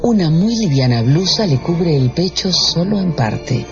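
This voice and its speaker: A man's voice: fairly high pitch, expressive, a little slow. A man giving dramatic scripted narration for a radio drama. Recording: dull lo-fi broadcast with music behind.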